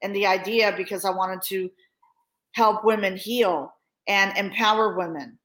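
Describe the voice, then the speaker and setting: A woman's voice: medium pitch, unhurried. A woman hosting a podcast, affirming her guest and posing long questions with restarts. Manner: warm encouraging interviewer, affirming, with restarts when asking. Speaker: podcast host. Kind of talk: interview hosting.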